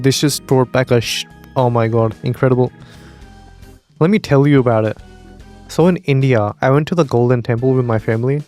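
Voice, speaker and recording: A man's voice: medium pitch, darkish tone. A young man giving casual reaction commentary, blunt and opinionated, swearing freely. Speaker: reaction video host.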